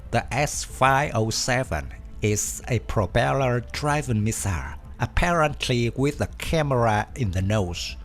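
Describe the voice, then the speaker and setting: A man reading a scripted documentary narration at an even, measured pace. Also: mid-range voice. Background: faint background music.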